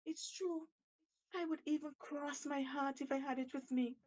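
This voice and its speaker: A woman's voice: high voice, fairly even intonation, normal speed, a little mellow, lo-fi sound, voice pushed up, lightly acted. A woman voicing an anxious, impatient male shark in a told story, urgent and theatrical. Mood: distressed wailing.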